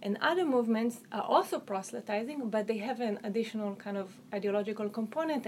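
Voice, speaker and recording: A woman with an Israeli accent talks through her research in a measured, academic way. She hedges often, restarts her phrases and leans on "um" and "you know" as she thinks. Her pitch sits in an ordinary middle range, and a faint noise hangs under the recording. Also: a little mellow. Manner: articulate, hedging with um and you know, frequent restarts. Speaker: author and researcher. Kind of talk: academic interview answers.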